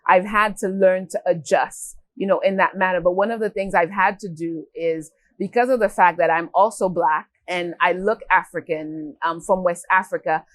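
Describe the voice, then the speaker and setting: A woman from Haiti who works for an NGO, giving earnest interview answers with frequent fillers and pauses. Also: lowish voice, fairly even intonation, dry recording. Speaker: NGO worker.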